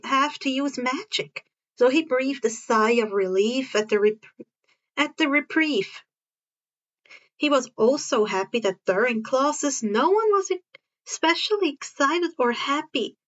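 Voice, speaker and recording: A woman's voice: high-pitched, a bit bright, lo-fi sound. A woman reading a story aloud as an amateur narrator, sometimes stumbling and rereading phrases. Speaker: fan fiction narrator.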